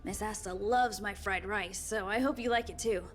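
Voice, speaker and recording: A woman's voice: medium pitch, moderate pace, soft background music, natural voice. An actress playing a busy, apologetic, kind young woman working on a space station in a video game, speaking earnestly and politely. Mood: earnest, hopeful. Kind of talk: scripted video game dialogue.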